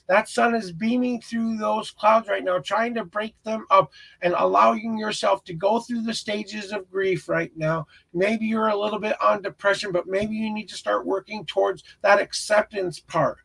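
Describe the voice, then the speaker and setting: A man with a crackly, raspy voice giving an earnest, encouraging and rambling tarot reading as a live stream host. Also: high-pitched, steady tone, normal speed, fairly bright tone. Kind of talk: spiritual tarot reading, casual guidance to a viewer.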